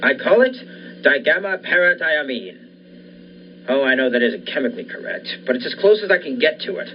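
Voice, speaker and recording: A man's voice: fairly high pitch, sing-song, fairly quick. A young man playing an obsessed, commanding scientist and surgeon in the style of a 1940s radio thriller. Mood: proud, assured. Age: young adult. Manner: commanding, insistent. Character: obsessed scientist surgeon bent on reviving his dead wife. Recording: dull lo-fi audio, faint noise.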